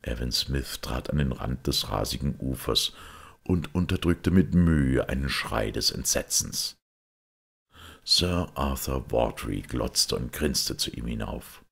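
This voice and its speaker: A man reading a detective story as scripted literary narration, in a measured, dramatic manner. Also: very deep voice, creaky, a bit bright, slightly rough. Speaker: audiobook reader.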